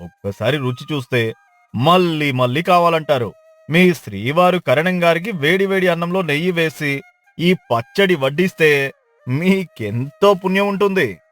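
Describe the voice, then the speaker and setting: A man playing a lazy but hopeful village man in a dramatized folk tale, coaxing and boastful, with drawn-out delivery. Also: a little high, fairly bright tone, dry recording, soft background music. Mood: coaxing, polite.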